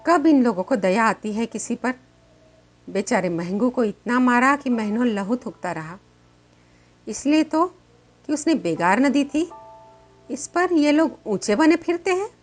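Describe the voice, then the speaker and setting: A woman's voice: on the high side, lively intonation, measured pace, faint music behind. A woman voicing a bitter, defiant poor village woman in a story, with emphatic rhetorical questioning. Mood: resentful.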